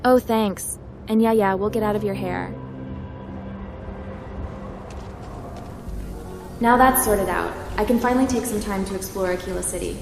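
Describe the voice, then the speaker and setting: A woman's voice: medium pitch, fairly quick, gravelly, music in the background, lightly acted. A woman playing a polite, curious female cyber runner as a game protagonist. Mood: curious, relaxed. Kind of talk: scripted game protagonist dialogue.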